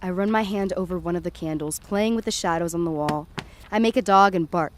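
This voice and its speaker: A woman's voice: on the low side, brisk, slight background noise, natural voice. A woman playing a frightened, sceptical, foul-mouthed young woman in a first-person audio drama. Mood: uneasy, wry. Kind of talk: first-person present-tense audio drama narration and dialogue.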